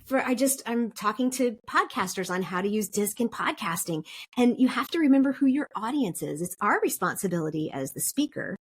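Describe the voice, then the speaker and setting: A woman's voice: mid-range voice, animated, a little fast, husky. A middle-aged woman talking as a podcast guest, chatting casually and laughing often while she explains with examples. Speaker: podcast guest, DISC consultant. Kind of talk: casual interview chat.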